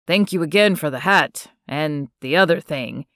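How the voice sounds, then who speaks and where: A woman voicing an earnest, apologetic young man in an audiobook romance, lowering her voice and speaking quickly and sincerely. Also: a bit bright, dry recording, clean studio sound.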